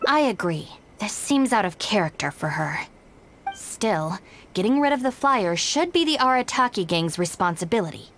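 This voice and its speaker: A woman's voice: medium pitch, sing-song, moderate pace, slight background noise, lightly acted. A woman playing a stern, level-headed young gang minder in a video game, speaking in a dry, controlled, exasperated way. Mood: formal, wary. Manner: dry, controlled, matter-of-fact. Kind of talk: English video game voice acting.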